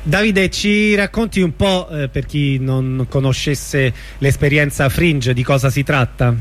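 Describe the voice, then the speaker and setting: A man's voice: on the high side, a little slow. A man hosting a casual radio interview, asking questions in an informal, conversational way.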